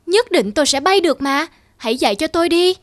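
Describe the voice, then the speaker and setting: A woman's voice: sits high, lively intonation, quick pace. A woman voicing a small, naive young turtle in a cartoon, eager, pleading and insistent.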